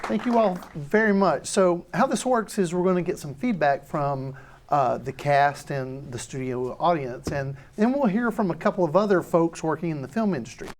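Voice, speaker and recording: A man's voice: fairly high pitch, normal speed. A man hosting a live panel, moderating feedback after a table read. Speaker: host.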